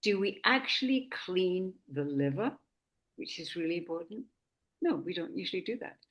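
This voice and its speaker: A woman hosting a health conversation, from Sweden, talking slowly and deliberately with frequent restarts. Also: on the low side, big pitch swings, lo-fi sound. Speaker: host. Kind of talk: casual talk.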